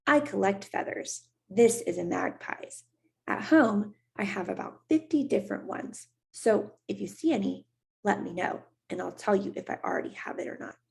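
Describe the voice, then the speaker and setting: A woman reading aloud and voicing a restless, boastful young boy who pesters with quick questions. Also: mid-range voice, animated, gravelly. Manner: teasing, pestering, rapid-fire questions.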